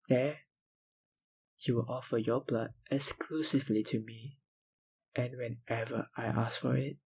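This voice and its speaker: An actor playing a possessive, powerful vampire kidnapper in an ASMR roleplay, breathy and husky, with a dominant, condescending manner. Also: male voice, medium pitch, heavily acted, low-quality recording. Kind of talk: ASMR roleplay, dramatic monologue.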